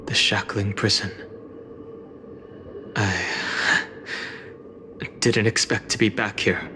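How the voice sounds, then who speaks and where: A man's voice: lowish voice, fairly even intonation, slow pace, bright, forceful tone, exaggerated voice. A man playing a reserved, stoic young man in a video game, terse and restrained. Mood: guarded. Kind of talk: video game story dialogue acting. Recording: faint ambient noise behind.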